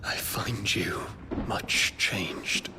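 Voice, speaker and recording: A man playing an earnest, proud young prince in a fantasy game, formal, measured and intense. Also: deep voice, background noise. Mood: grave, troubled. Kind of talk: dramatic fantasy game voice acting.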